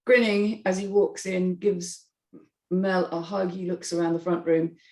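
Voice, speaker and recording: A woman's voice: fairly low pitch, flat delivery, a little slow, darkish tone, roomy sound, poor audio. A woman narrator reading screenplay stage directions in a scripted style.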